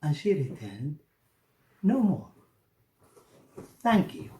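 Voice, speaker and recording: A man's voice: fairly high pitch, sing-song, takes it slowly, mellow. A man from Iraq with an Iraqi Arabic accent, telling a story theatrically and dramatically, repeating phrases for effect. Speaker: storyteller, actor. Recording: echoey room.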